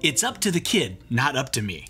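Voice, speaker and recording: Expressive man playing the comic straight man. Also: mid-range voice, brisk, soft background music. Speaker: children's read-aloud host, comedian. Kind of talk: comic banter. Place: small indoor studio set.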